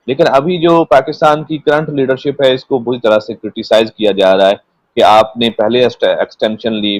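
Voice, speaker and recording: A man with a Pakistani accent speaking as a guest commentator, explaining politics in a measured, unscripted way and sometimes repeating words. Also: medium pitch, no echo, low-quality recording. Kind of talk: unscripted political analysis in a panel discussion.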